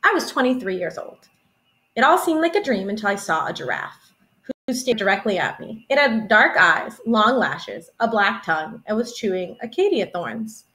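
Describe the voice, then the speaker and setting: A young woman in her twenties, a teacher reading a children's picture book aloud in an expressive storytelling manner, recorded indoors at home on a webcam. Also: mid-range voice, normal speed, poor audio. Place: small room at home, indoors.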